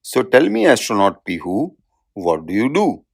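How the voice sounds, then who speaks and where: A man playing a gentle elderly grandfather, playful and curious, in a children's storybook. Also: on the low side, wildly swooping pitch, normal speed, gravelly, breathy edge, no echo, clean studio sound, full character voice. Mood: curious, indulgent. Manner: playful, questioning. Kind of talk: children's storybook read-aloud.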